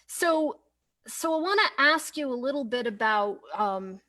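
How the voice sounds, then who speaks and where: A woman hosting a casual interview, speaking informally in short, halting, agreeable phrases. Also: high voice, lively intonation, sharp tone. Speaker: interview host.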